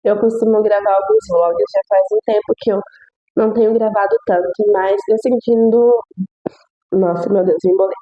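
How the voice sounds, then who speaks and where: A woman's voice: mid-range voice, warm tone, highs cut off. Congested, nasal young woman giving a devotional. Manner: earnest, reflective, repeats phrases for emphasis. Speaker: devotional video host. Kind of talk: Bible reading and devotional reflection.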